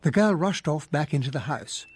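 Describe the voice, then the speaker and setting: An actor playing a gentlemanly English army captain, narrating his memoir in a radio drama. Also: on the high side, expressive, normal speed, slightly rough. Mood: wistful. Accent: English, well-spoken. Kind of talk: scripted first-person radio drama narration.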